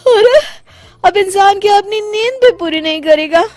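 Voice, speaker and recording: A lazy young bride in a cartoon tale, played by a woman, with a high voice that swoops through every complaint. She is cheeky and whiny, with light music behind her. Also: animated, normal speed, darkish tone, no echo. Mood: whiny protest. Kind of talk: animated moral story voice acting.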